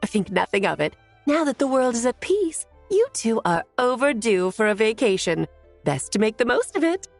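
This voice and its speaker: An actress playing a playful, self-assured sorceress in a video game, with an arch, genteel, theatrical manner. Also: female voice, fairly high pitch, sing-song, normal speed, soft background music. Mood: kindly.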